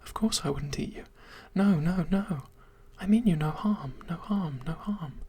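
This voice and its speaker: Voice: medium pitch, unhurried, dark tone, slightly rough. A reader whispering as a pleading lovesick monster. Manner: whispered, imploring, repeats itself. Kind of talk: whispered story reading.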